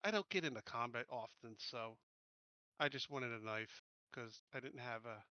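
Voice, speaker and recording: Male tabletop player, bright crisp voice, casual talk, slightly muffled dry recording. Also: medium pitch, moderate pace, no room sound. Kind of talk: casual game chat.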